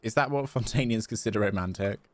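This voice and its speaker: A man's voice: lowish voice, fast, dry recording, natural voice. A man voicing a small, childlike fairy companion in a video game, puzzled and boastful, talking in a questioning way.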